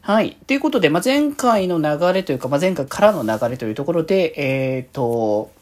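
丁寧でくだけた語り口の男性ポッドキャスター. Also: male voice, a little high, big pitch swings, brisk. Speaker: solo podcast host. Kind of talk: casual monologue reviewing an anime episode.

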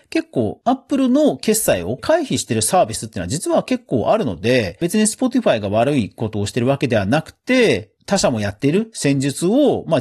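A man's voice: a little high, a little mellow, breathy edge. A man hosting a podcast, giving chatty, casual commentary on the news in a conversational way. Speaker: podcast host.

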